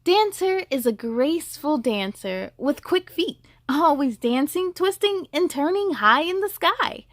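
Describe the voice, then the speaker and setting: An upbeat storybook elf voiced by a woman reader speaks in a high voice. The delivery is proud, emphatic and animated, as if presenting to children. The sound is dry. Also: unhurried, few pauses, no room sound. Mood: bright, admiring.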